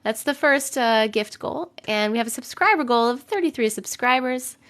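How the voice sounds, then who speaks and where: A young adult woman live streaming, chatting with viewers and reading stories, her voice a little rough from a cold. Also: fairly high pitch, expressive, moderate pace, a bit bright. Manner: chatty, greets viewers by name between sentences. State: voice a bit rough from getting over a cold. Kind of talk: casual live stream chat and story reading.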